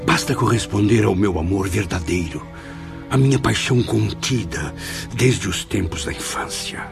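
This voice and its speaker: Gravelly, vengeful, obsessed nobleman. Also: fairly low pitch, lively intonation, normal speed, over music. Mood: pleading, persuasive. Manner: theatrical, menacing, impassioned. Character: obsessed, vengeful, cruel Spanish nobleman in love. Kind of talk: radio drama acting.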